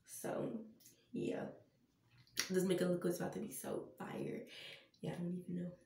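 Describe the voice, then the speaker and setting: A woman's voice: on the low side, flat delivery, normal speed, gravelly, dark tone, slight background noise. Stuffy-nosed woman vlogging in a bathroom. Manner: chatty, addresses viewers as y'all. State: congested, stuffy nose from allergies. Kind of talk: casual Halloween makeup vlog. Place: small tiled bathroom. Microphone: camera on a stand a few feet away. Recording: roomy bathroom echo, distant camera mic.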